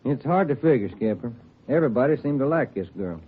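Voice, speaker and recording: A man's voice: medium pitch, expressive, brisk, husky. An American man acting an easygoing, tired detective partner in a 1950s radio drama, plain-spoken and understated. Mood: subdued. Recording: muffled vintage radio recording.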